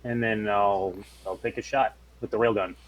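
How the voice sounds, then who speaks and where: A man's voice: fairly low pitch, steady tone, normal speed, low-quality recording. A man playing a tabletop game, chatting casually as he works out his moves.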